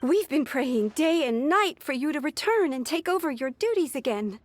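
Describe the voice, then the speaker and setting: A woman's voice: high-pitched, animated, normal speed. A woman voicing a young, eager, overworked junior secretary in a video game, flustered and deferential. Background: background music.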